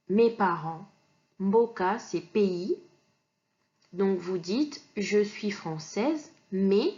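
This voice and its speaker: A woman's voice: medium pitch, dark tone, highs cut off. A woman from Kinshasa teaching an online language lesson, explaining patiently with many fillers and restarts. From Kinshasa, DR Congo.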